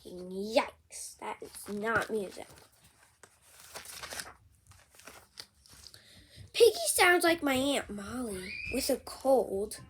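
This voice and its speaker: A reader voicing a gentle, honest male storybook elephant who speaks haltingly and carefully. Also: medium pitch, expressive, slight background noise, pitched below the performer's own voice. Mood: polite dismay.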